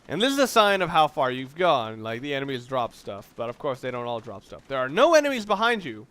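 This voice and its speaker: A man's voice: fairly high pitch, a bit bright. A man doing casual, unscripted game commentary, thinking aloud in a rambling way and often correcting himself. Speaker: let's player.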